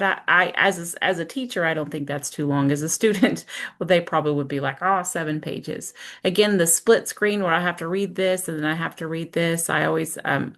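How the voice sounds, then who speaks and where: A woman teacher giving an informal screen-share walkthrough, thinking aloud step by step in a conversational way. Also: lowish voice. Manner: conversational, explains step by step with self-questions and check-ins.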